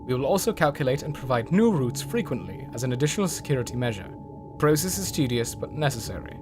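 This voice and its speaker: A man's voice: medium pitch, sing-song, quick pace, close to an everyday voice. A man playing a proud, zealous military general with a formal, speechifying manner in a dramatic comic dub. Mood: formal, resolute. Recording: music behind the voice. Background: music.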